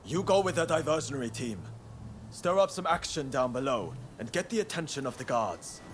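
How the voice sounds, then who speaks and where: A man's voice: mid-range voice, lively intonation, brisk, a little hiss or hum, lightly acted. A man playing a brash, defiant samurai rebel commander in a video game cutscene, with a commanding, theatrical delivery. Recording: music behind the voice.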